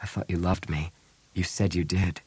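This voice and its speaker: A man's voice: low-pitched, fairly even intonation, normal speed, darkish tone, husky, no echo. A man voicing a cynical, wounded smuggler pilot in an audiobook, terse, bitter and hard-bitten. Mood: hurt, stunned. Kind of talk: audiobook character acting.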